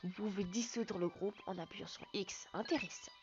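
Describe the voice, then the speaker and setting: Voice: mid-range voice, moderate pace, highs cut off, over music, close to an everyday voice. A young player reading a ship's computer. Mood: informative. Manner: reads on-screen game text aloud plainly. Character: ship's computer voice from a video game.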